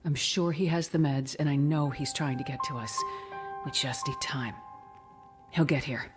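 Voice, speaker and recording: Game drama casting: actress for calm, purposeful heroine, deep female register, serious and steady, score underneath. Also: normal speed, over music, lightly acted. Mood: reassuring. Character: composed, determined woman searching for a missing doctor. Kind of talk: cinematic video game drama acting.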